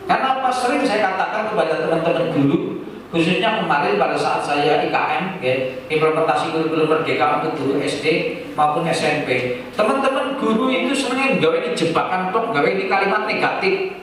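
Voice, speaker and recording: A man's voice: a little high, expressive, fairly quick, fairly bright tone. A man with a Banyumasan Javanese accent giving an informal, didactic speech to teachers, full of rhetorical questions and colloquial Javanese. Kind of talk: informal public address to teachers. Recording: echoey room from far off, faint noise.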